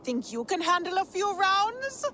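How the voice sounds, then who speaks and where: A woman's voice: extremely high pitch, sing-song, measured pace, sharp tone, slight background noise, exaggerated voice. An actress voicing a teasing female brewer who challenges the hero in a video game. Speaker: game character.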